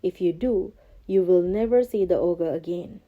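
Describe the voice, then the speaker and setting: A woman's voice: fairly low pitch, normal speed, narrow, tinny audio, natural voice. A woman voicing a clever, bold male cat from a storybook, courteous and coaxing in a theatrical way. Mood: sly.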